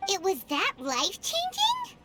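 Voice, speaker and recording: A woman voicing a tiny, cheeky, childlike fairy companion in a video game, with a squeaky voice and blunt manner. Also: wildly swooping pitch, a little slow, heavy background noise, full character voice. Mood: skeptical, teasing. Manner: talks about self in third person, blunt. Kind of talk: cartoonish video game voice acting.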